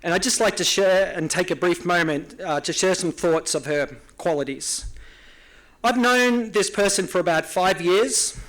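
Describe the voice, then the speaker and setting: This is an Australian man presenting an award with a prepared speech. His voice sits a little high for a man, and his tone is earnest and formal, with small hesitations along the way. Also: normal speed, fairly bright tone, rough recording. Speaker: award presenter, nonprofit founder.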